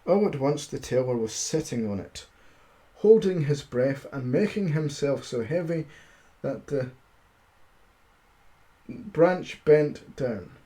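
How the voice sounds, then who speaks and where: A man streaming amateur storytelling, halting as he reads, with casual, self-deprecating asides. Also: medium pitch, expressive, warm tone. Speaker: streamer reading stories aloud.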